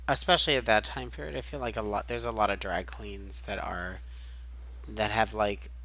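A man's voice: on the low side, fairly even intonation, normal speed, gravelly, pushed and bright. A man on a casual film podcast, talking hesitantly and filling his speech with "like" and "um". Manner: hesitant, full of "like" and "um". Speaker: podcast co-host.

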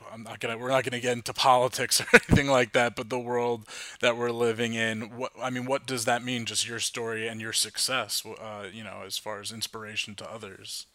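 A man's voice: mid-range voice, bright, forceful tone, no echo. A man interviewing restaurant owners, asking hesitant, rambling questions and backing them with short agreements. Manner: hesitant questions, frequent backchannel. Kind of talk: casual interview.

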